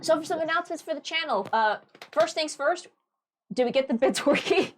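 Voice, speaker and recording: A woman hosting a livestream with a high voice, chatting in a bubbly, rambling, giggly way. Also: expressive, a little fast, background noise. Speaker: stream host. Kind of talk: casual livestream chat.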